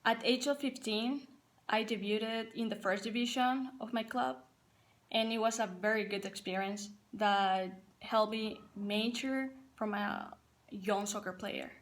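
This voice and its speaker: Young Paraguayan woman, earnest speech. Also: medium pitch. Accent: Paraguayan Spanish. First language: Spanish. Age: young adult. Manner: earnest, careful and measured, with some non-native phrasing. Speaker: college soccer player. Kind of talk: prepared personal speech.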